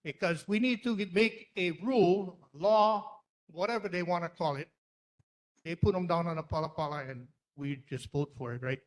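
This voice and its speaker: A man testifying at a community meeting, plainspoken, rambling and defiant. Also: sits high. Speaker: public testifier.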